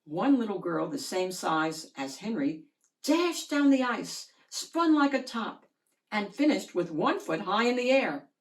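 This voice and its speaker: Woman reading a kids picture book, super lively pitch swings, slow n drawn out. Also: rough recording. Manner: animated, deliberate storytelling with drawn-out words. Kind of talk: expressive children's storybook read-aloud.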